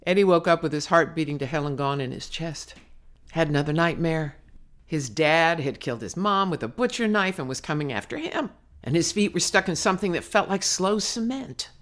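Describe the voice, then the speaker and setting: Woman reading a gritty novel aloud. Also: deep voice. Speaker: author reading her own novel. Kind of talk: scripted narration, audiobook reading.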